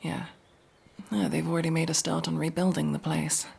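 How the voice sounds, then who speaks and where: A woman playing a masked tsundere elf mercenary in an intimate ASMR roleplay, speaking in a hushed, halting, breathy and husky voice. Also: deep voice, level tone, exaggerated voice. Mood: soft, relieved.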